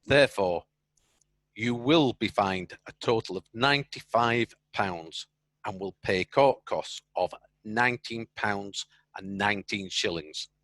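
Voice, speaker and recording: A man performs a chairman of the bench in a radio courtroom play. His voice is fairly low and recorded dry. He pronounces sternly, with formal authority. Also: measured pace, fairly bright tone, no echo. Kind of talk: radio courtroom drama acting.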